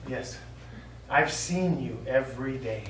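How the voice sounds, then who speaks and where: An echoey stage recording, picked up from a distance with faint noise. An actor plays an aging American war veteran, hesitant and earnest, apologetic yet defensive in a theatrical drama. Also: male voice, mid-range voice, expressive, slow, fairly bright tone. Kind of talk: stage play acting. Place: small theatre studio, recorded from afar.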